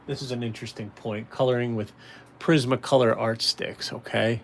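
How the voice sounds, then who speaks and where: A man's voice: mid-range voice, fairly even intonation, normal speed. A man giving a casual, folksy drawing tutorial on a livestream, talking directly and encouragingly to viewers. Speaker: caricature artist hosting a drawing livestream. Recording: clearly noisy room ambience.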